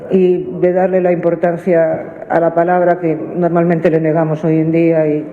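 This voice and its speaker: High-voiced man, tinny recording. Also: monotone, normal speed, slight background noise. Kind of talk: personal testimonial. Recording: tinny playback in echoey hall.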